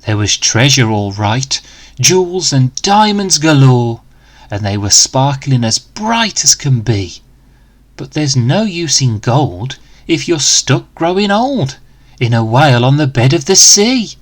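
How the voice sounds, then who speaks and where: A man reading a rhyming picture book aloud to children in an expressive, dramatic, deliberate way. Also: mid-range voice. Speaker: storyteller for children.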